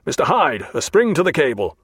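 A man voicing a male naval captain who gives crisp, curt orders to his crew. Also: a little high, big pitch swings, brisk, a bit bright, no room sound, clean studio sound, pitched above the performer's own voice, heavily acted. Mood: commanding.

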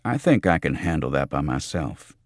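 A man voicing a glum, gruff, sarcastic club owner in an audiobook thriller, curt and grumbling. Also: rumbling low, very animated, normal speed, no echo, studio quality, pitched below the performer's own voice, exaggerated voice. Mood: dismissive.

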